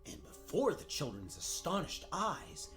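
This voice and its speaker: A man's voice: a little high, huge pitch swings, a little slow, fairly bright tone, soft background music, full character voice. A man playing a wry, mock-grand narrator in a comedic fairy-tale radio play. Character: wry, theatrical storybook narrator. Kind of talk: comedic scripted storytelling.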